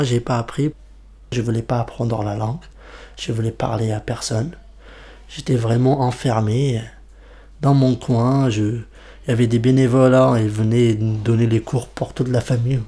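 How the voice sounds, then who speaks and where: Young man, Kurmanji native speaker, from Iraqi Kurdistan, warm dark timbre, halting delivery. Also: medium pitch. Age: young adult. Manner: halting, with many restarts and fillers. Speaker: interviewee. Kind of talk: personal testimony.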